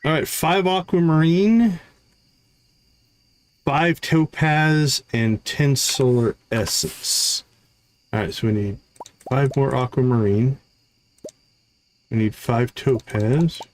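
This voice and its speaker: A man's voice: medium pitch, takes it slowly, long pauses. A man giving casual live gameplay commentary, thinking aloud as he counts off items and talks through his tasks. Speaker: live streamer, gaming host.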